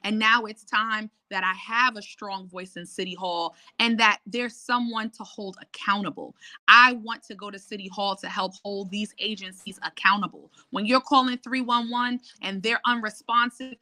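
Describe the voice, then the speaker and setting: A woman's voice: medium pitch, pushed and bright, no echo. A woman running for city council, confidently listing her record and urging people to vote. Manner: assured, persuasive, lists achievements. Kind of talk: campaign pitch on a call-in show.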